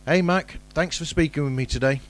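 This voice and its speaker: A man's voice: a little high, fairly quick. A British man hosting a casual interview podcast, phrasing things in a hesitant, roundabout way. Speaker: podcast host.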